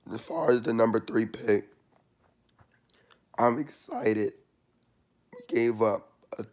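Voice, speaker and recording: A young man with a hoarse, worn-out voice giving a casual, slangy vlog update from a small room, recorded close on a laptop webcam. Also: medium pitch, darkish tone. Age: young adult. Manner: loose and chatty, slangy. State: hoarse, losing his voice. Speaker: sports channel vlogger.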